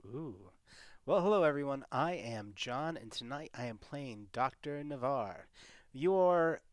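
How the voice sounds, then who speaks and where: A man speaks casually as a tabletop game player. His voice is medium in pitch, and it is recorded dry, with almost no room sound. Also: animated, unhurried, no echo. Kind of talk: casual introduction.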